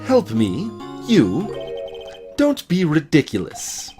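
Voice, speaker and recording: A man's voice: on the high side, huge pitch swings, takes it slowly, music in the background, full character voice. A man voicing a proud, bitter male attorney for a video game, haughty, clipped and sardonic. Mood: scornful.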